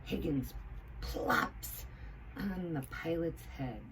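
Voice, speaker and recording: A woman reading a children's storybook aloud expressively, with emphatic pauses between phrases. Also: low-pitched, animated, a bit bright, husky, rough recording. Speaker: teaching assistant reading to children.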